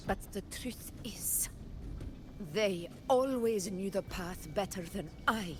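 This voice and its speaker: An actress playing a proud, haunted warrior ruler in a video game, speaking with solemn, weighty resolve. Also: female voice, medium pitch, noisy background, lightly acted. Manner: slow, weighty, confessional delivery. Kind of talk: dramatic video game voice acting. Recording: music behind the voice.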